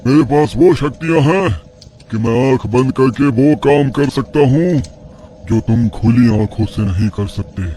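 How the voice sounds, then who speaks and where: A man's voice: mid-range voice, measured pace, dark tone, lightly acted. A man voicing an arrogant old tantric sorcerer in a horror audio story, boastful and taunting.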